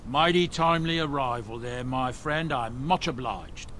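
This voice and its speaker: A man performs a genial middle-aged dwarf merchant for a fantasy game, a folksy and courteous father figure. His voice sits at an ordinary male pitch, with clear rumbly noise behind it. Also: fairly bright tone, natural voice. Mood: grateful, genial. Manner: courteous, folksy, unhurried pleasantries. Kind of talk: fantasy video game acting.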